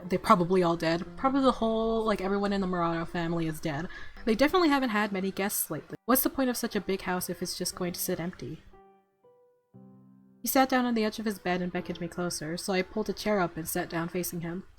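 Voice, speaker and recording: A woman streaming a game, giggly and excitable as she switches between reading narration and reacting in commentary. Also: fairly low pitch, quick pace, faint music behind. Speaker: gaming streamer.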